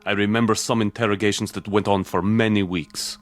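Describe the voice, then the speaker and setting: A man's voice: on the low side, flat delivery, a little fast, soft background music, lightly acted. A man playing a wary, embittered army major and former political prisoner in a drama, answering tersely and dryly. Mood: bitter, defiant. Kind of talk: dramatic TV-style dialogue acting.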